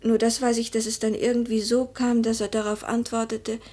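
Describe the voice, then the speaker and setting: Proud sad young widow, breathy and hushed, kinda high voice, literary radio play. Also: female voice, level tone, brisk. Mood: anguished. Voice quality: breathy, often dropping to a whisper. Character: proud, grieving young widow of noble birth. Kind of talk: German literary radio drama acting.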